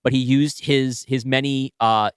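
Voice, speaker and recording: A man co-hosting a podcast, brainstorming casually and thinking aloud in halting, self-correcting bursts. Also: medium pitch, steady tone, clear, resonant tone, no room sound, studio quality. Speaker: podcast co-host and writer.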